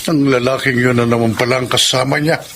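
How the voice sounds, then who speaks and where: A man's voice: medium pitch, fairly even intonation, quick pace, a bit bright, slight background noise, natural voice. An actor playing a stern, protective, aging father who scolds reproachfully, with a Filipino accent, in a radio drama. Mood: disapproving.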